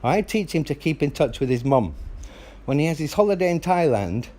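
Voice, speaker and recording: A father on a phone call, arguing in a loud, insistent, lecturing way with the phone held close to his mouth. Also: on the high side, very animated, brisk. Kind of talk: heated phone argument.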